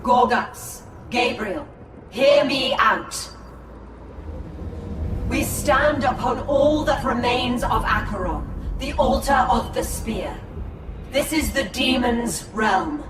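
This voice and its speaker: A solemn alien prophetess, speaking slowly in a high, imperious voice. She sounds cryptic and portentous, echoing from a distance under loud cinematic game music. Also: animated. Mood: solemn, urgent. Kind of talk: video game cinematic acting.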